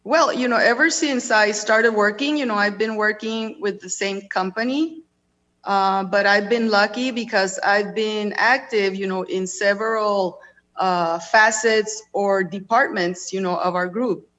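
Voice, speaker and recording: A woman's voice: medium pitch, fairly even intonation, a little slow, fairly bright tone, low-quality recording. A woman from Mexico with a Mexican accent, speaking English as a second language on a panel and filling her talk with "you know.". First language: Spanish. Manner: casual, peppered with "you know". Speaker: real estate leasing manager. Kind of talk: panel discussion.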